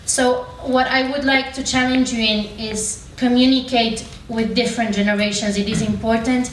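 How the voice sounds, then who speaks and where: A woman's voice: a little high, steady tone, moderate pace. A woman in her twenties from Bulgaria giving an earnest, argumentative prepared speech as a student speaker. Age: 20s. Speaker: student speaker on a youth panel. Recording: roomy, distant mic, background room noise.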